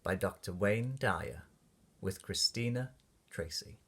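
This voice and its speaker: A man reading a children's picture book aloud with expressive, deliberate phrasing. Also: fairly low pitch, husky. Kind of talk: storybook read-aloud for kids.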